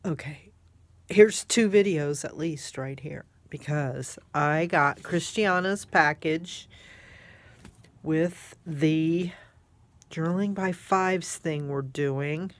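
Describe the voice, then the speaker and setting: Low-pitched, unhurried woman crafter, congested. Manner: gushing, exclamatory. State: congested, snotty nose. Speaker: craft vlogger. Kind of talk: casual rambling chat while showing craft items.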